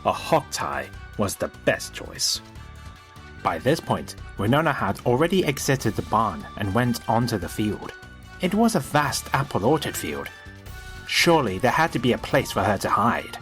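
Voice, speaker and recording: Animated male storybook narrator. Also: mid-range voice.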